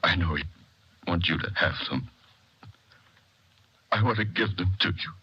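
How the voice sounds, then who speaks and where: A man's voice: deep voice, animated, measured pace, bright, forceful tone, husky, full character voice. An actor playing a grieving middle-aged father in 1940s radio drama. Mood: pained, gentle. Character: grieving father of a boy killed by accident. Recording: lo-fi vintage 1940s radio recording.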